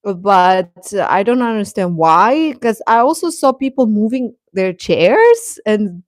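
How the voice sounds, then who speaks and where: A woman hosting a casual livestream, chatting and commenting in a hesitant, halting way. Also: medium pitch, no room sound, studio quality. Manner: hesitant, halting, chuckles often while talking. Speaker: livestream host reading viewer comments.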